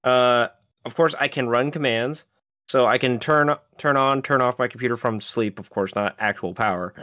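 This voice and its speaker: A man's voice: mid-range voice, normal speed, bright, forceful tone, no room sound, clean studio sound. A man co-hosting a casual tech podcast, explaining features steadily with frequent "uh" fillers. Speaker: podcast co-host.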